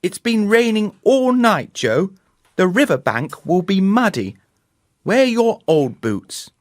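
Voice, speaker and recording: A man's voice: high voice, sing-song, slow pace, dry recording, clean studio sound, voice pushed up, heavily acted. A man voicing a practical storybook mother who speaks in an emphatic, admonishing way. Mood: disapproving.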